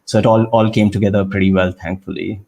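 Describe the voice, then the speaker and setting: A man from India, in his thirties, answering interview questions on a video call in a measured, explanatory way with frequent fillers. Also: fairly low pitch, fairly even intonation, gravelly, dark tone. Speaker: guest scientist.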